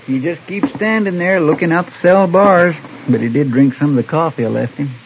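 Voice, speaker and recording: An actor playing a loyal, folksy American deputy in a radio western, plain-spoken and deferential. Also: male voice, a little high, brisk, natural voice. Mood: earnest, concerned. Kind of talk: old-time radio western acting. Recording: tinny, hissy vintage radio recording.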